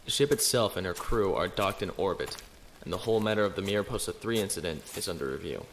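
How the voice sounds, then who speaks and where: Low-voiced, dutiful naval lieutenant. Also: male voice, fast talker, a little hiss or hum. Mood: measured, formal. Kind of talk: audio drama acting, log narration.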